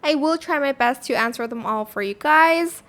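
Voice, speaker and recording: A woman with a high, youthful-sounding voice hosting a casual software tutorial in a chatty, informal way, thinking aloud as she goes. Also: normal speed. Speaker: tutorial host.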